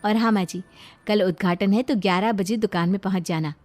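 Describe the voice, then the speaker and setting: A woman's voice: mid-range voice, a little fast, a little mellow, close to an everyday voice. A woman voicing a cheerful, supportive young daughter-in-law in a Hindi cartoon story. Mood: assured. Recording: music behind the voice.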